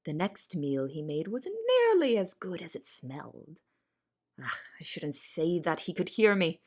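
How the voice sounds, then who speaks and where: A woman's voice: lowish voice, big pitch swings, a little slow, darkish tone. A woman playing an anxious young cook in an audio drama, narrating and speaking with confessional, dramatic intensity. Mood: worried. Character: anxious young female cook in a big house. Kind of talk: audio drama first-person narration and dialogue.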